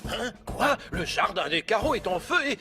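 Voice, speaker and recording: A man playing an excitable older fire station chief in a French-dubbed children's cartoon, with an emphatic delivery. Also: sits high, normal speed, over music. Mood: alarmed.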